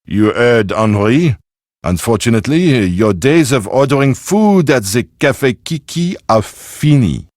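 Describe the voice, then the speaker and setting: A man's voice: medium pitch, big pitch swings, unhurried, darkish tone, no echo, studio quality. A man acting as a suave, self-important Frenchman in a video game, with a French accent and pompous, precise delivery. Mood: regretful, haughty.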